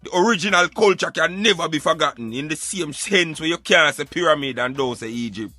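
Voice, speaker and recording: A Jamaican man with a fairly high, bright voice holds forth in thick Patois. He is emphatic and argumentative, preaching his opinions like a talk show commentator. The sound is close and dry, with music underneath. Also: fairly quick, no room sound. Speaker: radio show host.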